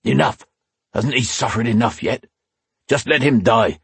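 A man voicing a gruff male soldier captain who speaks bluntly. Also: medium pitch, a little slow, a bit bright, no echo, voice pushed up, heavily acted. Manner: gruff, protesting.

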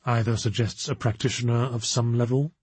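Cool bored lady detective boss, done by a guy, measured, unintimidated, audiobook style, really dry close recording. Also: medium pitch, fairly even intonation, darkish tone, slightly rough, dry recording. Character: cool, unflappable female occult detective boss.

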